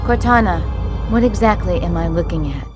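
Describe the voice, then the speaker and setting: An actress playing an assertive military ship commander in a game, clipped and authoritative. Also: female voice, lowish voice, animated, fairly quick, music in the background, close to an everyday voice. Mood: urgent, commanding.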